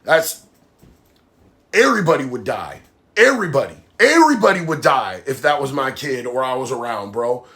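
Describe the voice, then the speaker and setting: A man's voice: medium pitch, very animated, moderate pace, fairly bright tone. A man hosting a live stream, nasal from a sinus infection, talking bluntly and heatedly while reading viewer comments. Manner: blunt, heated, says bro a lot. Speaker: livestream host.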